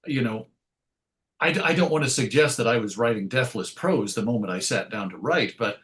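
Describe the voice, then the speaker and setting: A man's voice: mid-range voice, lively intonation, fairly quick, fairly bright tone. A man telling stories as an interview guest in a reflective, wordy way, circling back to correct himself and adding wry asides. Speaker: interview guest, comics writer and radio host. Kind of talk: conversational interview storytelling.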